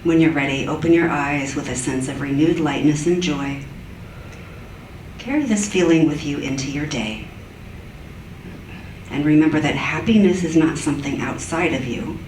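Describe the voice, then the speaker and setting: A woman leading a guided meditation in a soft, gentle, soothing way. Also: low-pitched, normal speed, long pauses, a little hiss or hum. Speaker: meditation leader. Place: church sanctuary. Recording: echoey church room from far off.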